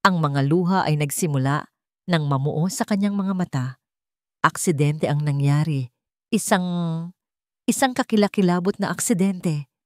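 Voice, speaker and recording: A woman reads a dramatic scripted story in a somewhat low voice. Her delivery is measured, with pauses for weight. The sound is dry, with very little room in it. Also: warm tone, dry recording. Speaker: story narrator.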